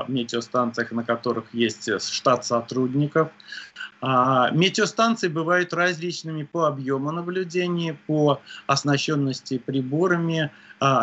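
A man answering interview questions about weather observation, speaking in a measured way with frequent hesitations. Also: fairly high pitch, a bit bright, highs cut off. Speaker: meteorologist guest.

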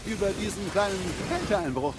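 A man's voice: sits high, lively intonation, moderate pace, noisy background. A man playing a nervous, fussy, exasperated dwarf in a game-based audio drama. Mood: fretful. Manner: exasperated, fretful. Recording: music behind the voice.

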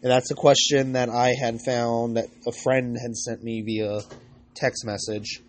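A man hosting a podcast with informal advice, congested from a cold, speaking in a halting, rambling way and often correcting himself. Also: medium pitch, flat delivery, slight background noise. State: recovering from a cold. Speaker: podcast host.

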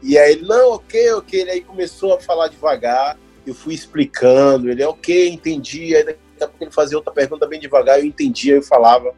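Animated Brazilian man, husky voice. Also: fairly high pitch, a little fast, hardly any pauses, faint music behind. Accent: Brazilian Portuguese. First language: Portuguese. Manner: animated storyteller, laughs often, mimics voices. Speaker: actor working as an extra. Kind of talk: casual chat.

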